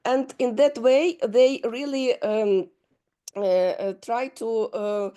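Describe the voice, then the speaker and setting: Halting woman with a Ukrainian accent. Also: a little high, steady tone, warm tone. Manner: halting, many fillers and restarts. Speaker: guest speaker. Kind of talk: lecture answering questions.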